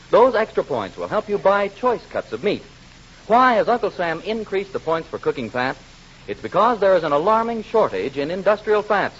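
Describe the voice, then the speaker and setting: A male announcer reads advertising copy in 1940s radio style. His voice is high and rough-edged, swinging widely in pitch as he makes an emphatic sales pitch. The recording is a dull vintage broadcast.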